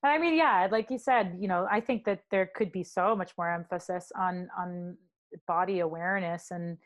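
Chatty young woman with a low voice. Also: moderate pace. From Canada. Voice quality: low speaking voice. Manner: chatty, loose, heavy with filler words. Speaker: guest singer. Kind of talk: casual podcast chat.

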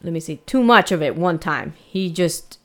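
A woman's voice: on the low side, expressive, moderate pace, fairly bright tone. A woman with a slight Spanish-influenced accent, co-hosting a casual true crime podcast and telling the story in a chatty way with fillers.

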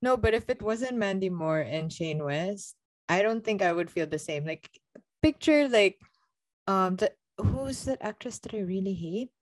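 A woman's voice: mid-range voice, expressive, unhurried, no echo. A woman co-hosting a book podcast, chatting casually with blunt, emphatic opinions and a ready laugh.

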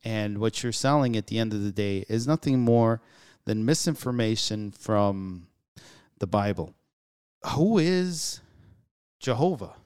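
Earnest man musing in a smooth voice. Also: medium pitch, a little mellow. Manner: earnest, musing, with frequent restarts. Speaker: podcast host. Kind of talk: solo reflective monologue.

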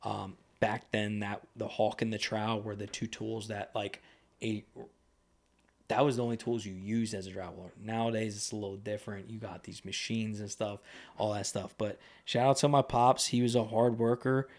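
Low-voiced young man, rambling casually. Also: level tone, normal speed. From United States. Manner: rambling, loose, lots of fillers and restarts. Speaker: podcast guest. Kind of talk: casual conversation. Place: podcast studio.

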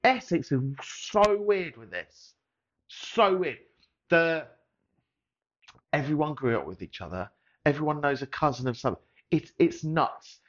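A man from Surrey hosting a podcast, chatting casually in an animated, teasing way and swearing often. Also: fairly high pitch, unhurried, long pauses, muffled recording. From Surrey, England. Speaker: podcast host. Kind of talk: casual chat.

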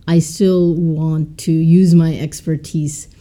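A woman who was a doctor, now an MBA student, giving calm, composed interview answers. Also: low voice, slow.

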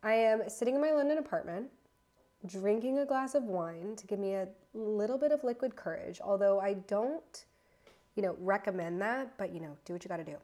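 A woman in her thirties from the United States talks casually into her podcast mic at home. She rambles, doubles back and corrects herself, with a slightly nervous edge. Her voice sits at an ordinary middle pitch. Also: expressive, fairly quick, warm tone. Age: 30s. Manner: chatty and rambling, with restarts and self-corrections. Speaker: podcast host. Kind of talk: casual solo podcast chat. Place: her apartment, indoors.

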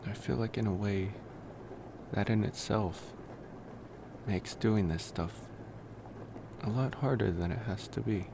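A gentle young vampire acted by a man speaks in a low, creaky voice at a very unhurried pace. He muses and rambles intimately, as in an ASMR roleplay, with pauses between thoughts. Steady noise sits behind the voice. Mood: wistful, pondering. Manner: musing, rambling, with pauses and sighs. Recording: noticeable steady background noise.

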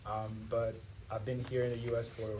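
A young man from Tanzania whose first language is Swahili talks casually as an interview guest. He sounds a little way from the microphone, with clear noise around his medium-pitched voice. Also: flat delivery, a little fast, darkish tone. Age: young adult. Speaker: podcast guest, university student. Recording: noisy, distant from the mic.

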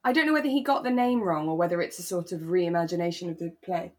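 A woman's voice: on the low side, fast. A British woman co-hosting a podcast in casual conversation, chatty and given to questioning her own words. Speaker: podcast co-host. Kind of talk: casual chat about a radio drama.

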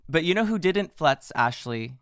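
A man with a hoarse voice co-hosting a podcast, chatting playfully and poking fun at himself. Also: fairly high pitch, big pitch swings, fairly bright tone, dry recording, studio quality. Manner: playful, self-mocking, quick asides. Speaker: podcast co-host. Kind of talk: casual chat.

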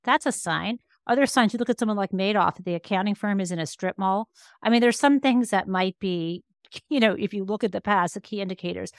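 A woman's voice: mid-range voice, brisk, no echo, studio quality. A woman speaking as an expert podcast guest, explaining conversationally and emphatically, often rephrasing as she goes. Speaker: interview guest, law professor and author.

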